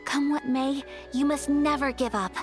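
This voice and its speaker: A woman playing a gentle, refined young noblewoman in a video game, speaking softly with earnest, poised affection. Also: high voice, steady tone, normal speed, music in the background, natural voice. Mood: earnest, tender. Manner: soft, poised, heartfelt delivery. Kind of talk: video game voice acting, sincere and tender.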